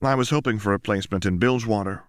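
A man's voice: lowish voice, dry recording, studio quality, lightly acted. A man voicing a courteous, determined female secret operative with formal diction in a story reading. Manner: polite, formal, measured diction.

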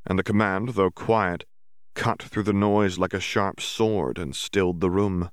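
A man reading a fantasy audiobook in an even, measured narration. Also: low-pitched, clean tone, dry recording, studio quality.

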